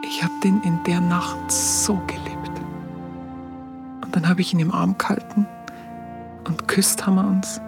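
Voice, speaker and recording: Tender, low-voiced Bavarian woman. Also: level tone. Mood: tender, moved. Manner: halting, repeating, breathy half-whispers. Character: tender, simple-hearted Bavarian woman remembering her wartime lover. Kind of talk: radio drama monologue, colloquial. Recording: music under the voice.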